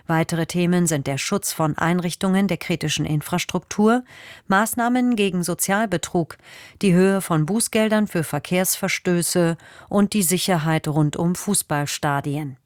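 A woman reading the news on the radio in a measured, formal way. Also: fairly low pitch, dry recording, studio quality. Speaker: newsreader. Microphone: studio microphone.

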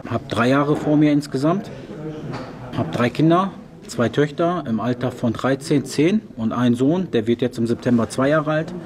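A man in his thirties speaks as an interviewee, explaining his situation in a medium-pitched voice. A crowd is clearly audible behind him. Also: normal speed. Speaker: prison inmate being interviewed. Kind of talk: spoken interview self-account.

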